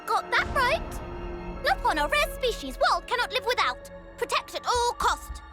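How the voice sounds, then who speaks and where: A woman voicing a small round creature in a video game, speaking in clipped broken sentences over loud music. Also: very high-pitched, very animated, moderate pace. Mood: proud. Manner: clipped broken speech, drops little words. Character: small round cheerful creature, proud of its kind. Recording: game cutscene with loud score.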